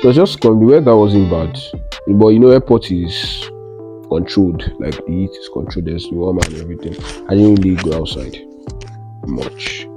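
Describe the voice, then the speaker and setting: A man's voice: deep voice, normal speed, over music. A young man with a Nigerian accent chatting casually to camera as a travel vlogger, telling stories with Pidgin slang and quoting himself. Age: young adult. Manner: animated storytelling with Pidgin slang and self-quoting. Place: living room, indoors.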